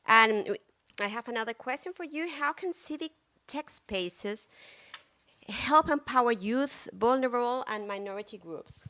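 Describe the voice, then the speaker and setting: Female panel moderator, very bright tone, slightly high pitch, formal questioning. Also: expressive, measured pace.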